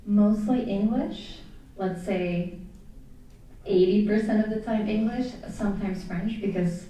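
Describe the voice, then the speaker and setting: A woman's voice: medium pitch, slow, warm tone. A young woman from Belgium, a native Dutch speaker, asking a question conversationally from the audience. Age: young adult. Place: indoor public event. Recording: echoey room, distant handheld microphone.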